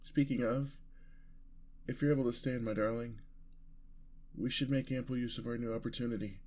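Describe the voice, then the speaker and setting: A man's voice: medium pitch, fairly even intonation, normal speed, a little mellow, lightly acted. A man playing a devoted, weary vampire lover in an ASMR fantasy roleplay, speaking tenderly and earnestly in formal words. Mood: calm, fond.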